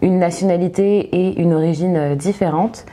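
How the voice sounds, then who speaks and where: A young woman talking through a book review, reading a synopsis aloud and then speaking with many pauses, fillers and restarts. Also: on the low side, warm tone. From Egypt.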